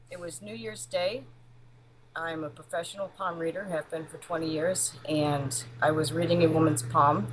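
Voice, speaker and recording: A woman's voice: low voice, a little hiss or hum, poor audio. A middle-aged woman as an interview guest, talking casually and bluntly in a matter-of-fact way. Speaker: interview guest, palm reader. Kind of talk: casual interview, personal storytelling.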